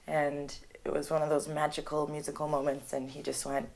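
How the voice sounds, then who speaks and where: A young woman from Canada, a singer-songwriter, chatting in an interview and telling stories in a lively, laughing way. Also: sits low, a little fast, creaky. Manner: chatty, animated storytelling with laughs. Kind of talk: casual interview.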